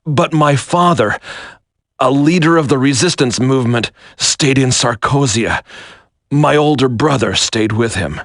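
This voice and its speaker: A military commander who has turned traitor, grave and resigned to fate. He speaks slowly and deliberately in a dry, close recording, with the solemn drama of a video game. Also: mid-range voice, a bit bright, dry recording. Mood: solemn, fatalistic.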